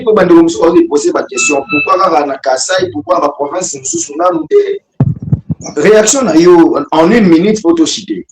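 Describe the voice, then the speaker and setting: Congolese male host asking questions, bit high voice, halting delivery, really echoey room. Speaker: talk show host. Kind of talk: casual interview questioning. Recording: echoey room with faint background noise.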